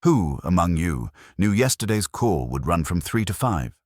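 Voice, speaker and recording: A man's voice: low-pitched, sing-song, normal speed, darkish tone, dry recording, clean studio sound, pitched above the performer's own voice. A man voicing an imperious, exacting detective who speaks formally and mildly, with precise, cutting delivery in an audiobook. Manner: formal, mild-spoken, precise.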